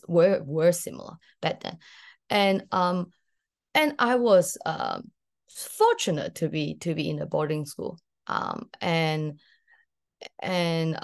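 A young woman with a Hong Kong accent, a podcast guest telling her story in a casual, hesitant way with many fillers and restarts. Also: low-pitched. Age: young adult. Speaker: podcast guest, graduate student.

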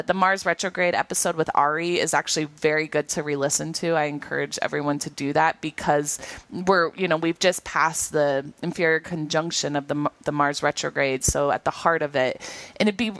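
A woman co-hosting a podcast in a casual chat, with a nasal voice and a quick, rambling way of talking full of restarts. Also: on the low side, fairly bright tone, dry recording. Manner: quick, rambling, self-correcting talk with restarts. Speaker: podcast co-host.

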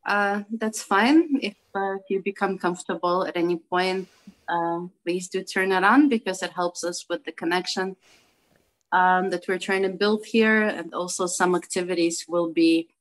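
A woman's voice: lowish voice, steady tone, unhurried, low-quality recording. New woman co-hosting a video call. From Brooklyn, New York. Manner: friendly, informal, with fillers and restarts. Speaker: co-host.

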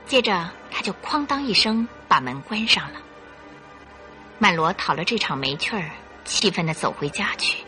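Animated female radio drama narrator. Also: fairly low pitch, moderate pace, music in the background. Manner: expressive, suspenseful. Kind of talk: scripted dramatic storytelling for radio.

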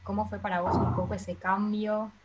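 A woman's voice: mid-range voice, little pitch movement, moderate pace, a little mellow, slight background noise. A woman with a Rioplatense accent hosting a podcast, introducing co-hosts and a guest in an easygoing way. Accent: Rioplatense Spanish. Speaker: podcast host.

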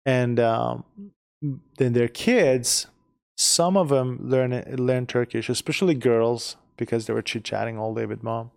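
A middle-aged man from Iran speaks with a gravelly edge. He talks casually and haltingly, often repeating words as he searches for the right phrasing. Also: mid-range voice, steady tone, darkish tone. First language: Persian. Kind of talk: casual conversation.

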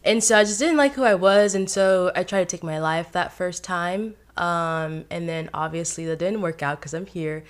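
Young woman from Haiti, podcast guest, lower voice, candid and easygoing with frequent laughs. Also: moderate pace, hardly any pauses. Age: 20s. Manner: candid, laughs often, many "like" fillers. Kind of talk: casual personal story.

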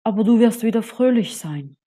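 Woman doing a deep-voiced wise octopus. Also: lively intonation, dark tone. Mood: solemn. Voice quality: deep voice, slow and solemn. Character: wise old octopus in a dark cave.

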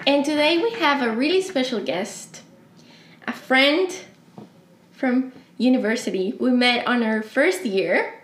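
A young woman from Chile hosting a podcast in a casual, friendly, unhurried way with some fillers. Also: sits high, roomy sound. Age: young adult. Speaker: podcast host.

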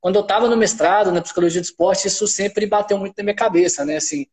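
A man's voice: high voice, quick pace, darkish tone. A man from Minas Gerais with a Minas Gerais accent, chatting as a researcher guest on a podcast, talking freely with lots of tag questions. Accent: Minas Gerais Brazilian Portuguese. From Minas Gerais, Brazil. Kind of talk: casual conversational podcast.

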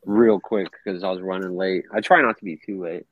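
A man with a low voice speaks in a laid-back, rambling way during a casual stream chat. He has the unhurried tone of a regular on the show. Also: steady tone, phone-quality sound. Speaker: live stream co-host.

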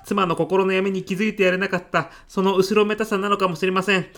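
A man's voice: high-pitched, little pitch movement, fast, a bit bright. A man playing a meek, very polite male office worker in a comedy radio drama, hesitant and deferential to the boss. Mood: sheepish pleading.

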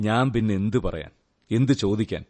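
Brooding, low-voiced young husband. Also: male voice, animated, darkish tone. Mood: bitter, sardonic. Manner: sardonic, melancholy, measured. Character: wounded, brooding young husband, bitter and world-weary. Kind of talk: Malayalam radio drama acting.

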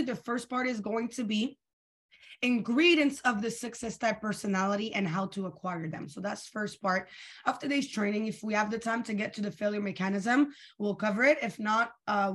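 A young woman runs a weekly training call with lots of energy, often asking her audience questions. Her voice is a bit tired and worn, and it sits at an ordinary pitch for a woman. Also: moderate pace. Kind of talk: live online coaching session.

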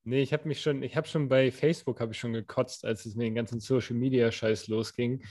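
A man's voice: medium pitch, fairly even intonation, a little fast, darkish tone. A young man co-hosting a podcast, talking in a loose, rambling way with many fillers and restarts. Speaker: podcast co-host. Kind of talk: casual chat.

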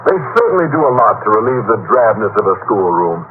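A muffled old radio recording with faint noise carries a bashful, earnest male teacher. His voice moves in lively intonation, polite and slightly awkward. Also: lowish voice, quick pace. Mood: earnest, obliging. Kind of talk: old-time radio comedy acting.

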